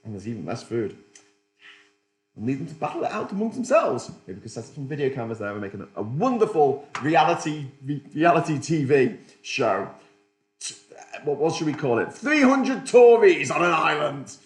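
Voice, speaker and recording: A man's voice: fairly high pitch, big pitch swings, normal speed, roomy sound. A middle-aged man hosting a political livestream, rambling sardonically and reading out chat comments. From Britain.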